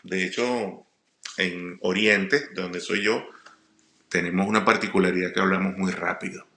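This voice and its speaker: A middle-aged man with a Venezuelan accent tells personal stories informally, often halting and repeating words. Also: low voice, fairly even intonation, bright, forceful tone. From Puerto La Cruz, eastern Venezuela. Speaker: comedian, guest speaker. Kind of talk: personal storytelling monologue. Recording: roomy echo.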